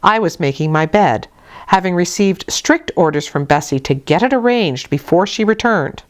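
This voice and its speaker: A woman reading a classic novel aloud as an audiobook, in a measured, deliberate storytelling manner. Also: lowish voice. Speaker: audiobook reader. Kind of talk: scripted narration of a classic novel, read aloud.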